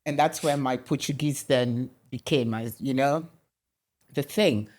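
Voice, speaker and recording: A middle-aged woman from Kenya, a guest in a conversational interview, telling animated stories with many restarts. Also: extremely low pitch, unhurried.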